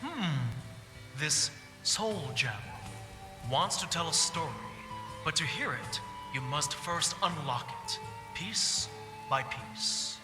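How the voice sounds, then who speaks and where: A man's voice: fairly high pitch, lively intonation. Brooding bard played by a man, echoing hall. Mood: grave, cautious. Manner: measured, solemn, poetic. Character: brooding male bard, once a mage advisor to a king. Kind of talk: fantasy game roleplay dialogue. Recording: echoey hall, music playing behind.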